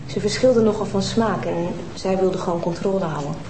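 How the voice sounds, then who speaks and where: A woman plays a young witness who speaks calmly and keeps her guard up. Her voice carries over a noisy TV soundtrack. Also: mid-range voice, lightly acted. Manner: measured, guarded. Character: composed young woman hiding an affair.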